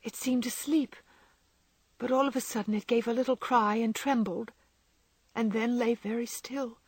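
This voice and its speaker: A woman voicing a gentle, frail young girl who speaks softly, often near a whisper. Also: fairly high pitch, steady tone, moderate pace, slightly rough, no echo, voice pushed up. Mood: sad.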